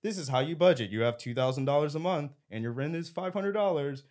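A man in his twenties from San Diego, co-hosting a podcast discussion in a measured, reflective way. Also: on the high side, expressive, a little mellow. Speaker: podcast co-host. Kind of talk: casual discussion.

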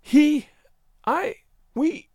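A man's voice: sits high, very animated, normal speed, no room sound, studio quality, voice pushed up. A man voicing a naive, distraught young woman in a storybook, with a small quavering voice and a hesitant, pleading manner. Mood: flustered, pleading.

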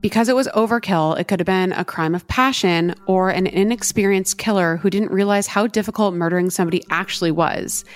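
A woman co-hosting a true crime podcast, telling the case in a steady, earnest way. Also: mid-range voice, fairly quick, hardly any pauses. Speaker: podcast co-host.

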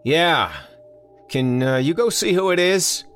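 A man voicing an irritable adult man who yells back loudly and grumbles. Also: a little high, huge pitch swings, moderate pace, breathy edge, soft background music, pitched above the performer's own voice, exaggerated voice. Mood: annoyed. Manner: loud, annoyed yelling. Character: grumpy adult man, irritable then alarmed.

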